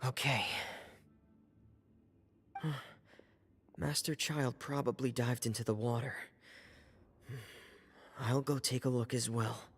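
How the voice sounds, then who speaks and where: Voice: mid-range voice, fairly even intonation, takes it slowly, pauses often. An actor voicing an earnest, determined young traveling companion in a fantasy game, musing aloud. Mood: pensive, searching. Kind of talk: scripted game voice acting.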